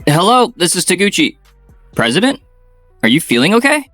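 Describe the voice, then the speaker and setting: An actor playing a polite, earnest young office employee in a manga dub. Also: male voice, sits high, sing-song, moderate pace, fairly bright tone, no room sound, faint music behind, heavily acted.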